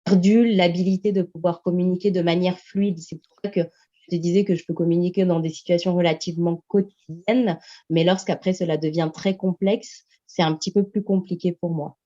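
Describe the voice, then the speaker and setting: French woman guest, soft mellow low voice, lots of "heu", muffled call audio. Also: steady tone, brisk. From France. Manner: hesitant, thoughtful, many fillers. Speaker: guest, Spanish teacher. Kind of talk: casual interview answers. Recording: dull lo-fi video-call sound.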